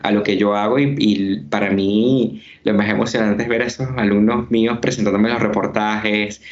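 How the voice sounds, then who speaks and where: A man's voice: lowish voice, fairly even intonation, normal speed, highs cut off. A man, a journalist and teacher, answering interview questions in an earnest, teacherly way with many fillers, heard over a video call. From Venezuela.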